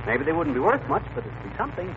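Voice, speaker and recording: A man's voice: a little high, wildly swooping pitch, fast. An American man acting a blustering, wheedling, boyish husband in a folksy, wisecracking 1940s radio comedy style. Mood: indignant, pleading. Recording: dull, noisy old radio recording.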